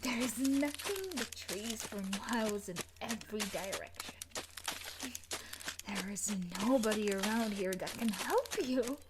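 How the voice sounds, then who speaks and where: A woman playing an obsessive, possessive young scientist in an audio roleplay, with breathy, coaxing threats and pleading. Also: a little high, measured pace, heavy background noise, natural voice. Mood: threatening, pleading. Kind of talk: audio roleplay acting addressed to the listener.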